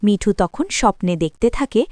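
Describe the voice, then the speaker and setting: A woman's voice: mid-range voice, expressive, normal speed, a little mellow, no room sound. A woman reading scripted narration for a children's cartoon story.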